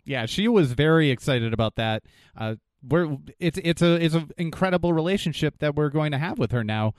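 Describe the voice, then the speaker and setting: Man, new england accent, smooth clear voice, dry close sound, rambling podcast chat. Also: on the high side, a little fast, no room sound. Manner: relaxed, rambling with fillers. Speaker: podcast co-host.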